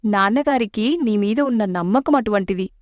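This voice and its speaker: A woman's voice: medium pitch, moderate pace, no echo, clean studio sound, close to an everyday voice. A woman voicing a loving young daughter in a storybook tale, devoted and pleading.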